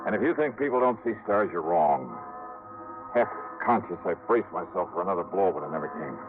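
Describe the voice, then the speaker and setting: Deep-voiced hardboiled detective narrator. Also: male voice, lively intonation, brisk. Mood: grim. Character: hardboiled male insurance investigator telling his case. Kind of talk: 1950s hardboiled radio narration. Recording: tinny old radio recording with music.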